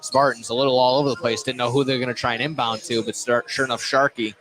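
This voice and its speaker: A man's voice: mid-range voice, flat delivery, fast talker, a bit bright, low-quality recording. A man doing live play-by-play basketball commentary for radio, often restarting and repeating phrases as he goes. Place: indoor basketball gym.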